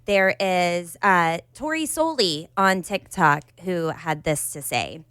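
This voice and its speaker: A woman co-hosting a podcast in casual chat, slightly raspy and animated. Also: on the low side, slow pace, no room sound. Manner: animated, bursts into cheery put-on voices. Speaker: podcast co-host.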